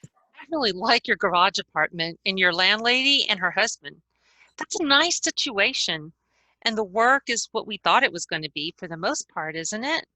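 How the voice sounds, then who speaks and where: A woman's voice: mid-range voice, expressive, normal speed, pushed and bright, no room sound, low-quality recording. A woman playing a squeamish, admiring young friend from the 1940s in a table read of a stage play over a video call. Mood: cheerful. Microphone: computer mic on a video call.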